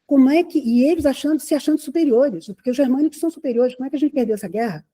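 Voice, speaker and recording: A woman from Rio de gives a conversational lecture to an audience. Her voice is fairly high but very dark and mellow, with a husky edge. She keeps checking in with rhetorical questions as she speaks. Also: racing. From Rio de Janeiro, Brazil.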